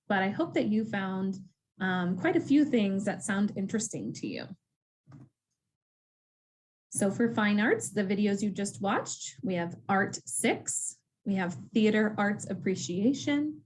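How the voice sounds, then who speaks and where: A woman's voice: mid-range voice, darkish tone, slight background noise, poor audio. A woman who works at a school walks students through course selection in a friendly, casual way, with frequent ums. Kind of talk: casual informational talk to students.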